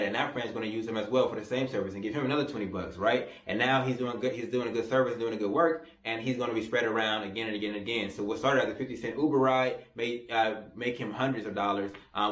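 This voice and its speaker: A young man in his twenties vlogging casually to camera, rambling with restarts and repeated words as he makes a persuasive pitch. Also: fairly bright tone. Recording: roomy echo.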